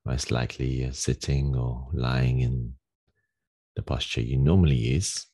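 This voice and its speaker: A man leading a guided meditation as a teacher, giving gentle, unhurried instructions with long pauses in a gravelly, husky voice. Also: a bass voice. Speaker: meditation teacher leading a daily group session.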